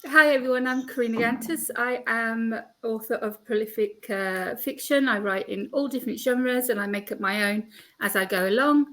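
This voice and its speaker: A woman who works as an author and podcaster, introducing herself in a brisk, listing way. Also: fairly high pitch, bright, forceful tone. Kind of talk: self-introduction on a panel.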